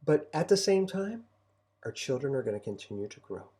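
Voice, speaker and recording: A man's voice: mid-range voice, sing-song, brisk, mellow. A middle-aged man speaking casually as a co-host and homeschooling parent, giving advice in a reflective, encouraging way. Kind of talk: casual conversational advice talk.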